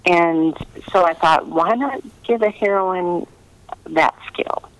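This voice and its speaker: A middle-aged woman giving earnest, conversational interview answers, pausing often to find her words over a remote line. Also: lowish voice, no echo, rough recording. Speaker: interviewed historical novelist.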